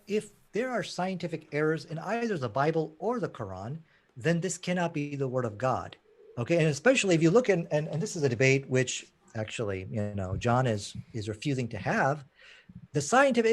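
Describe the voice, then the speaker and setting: A man's voice: fairly high pitch, sing-song, brisk. A man from Pakistan arguing emphatically in a live online debate. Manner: emphatic, combative, fond of "you know".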